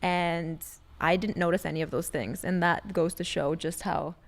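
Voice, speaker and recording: A woman co-hosting a podcast in casual, unscripted chat, animated and informal as she thinks aloud. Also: on the low side, normal speed, gravelly. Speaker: podcast co-host.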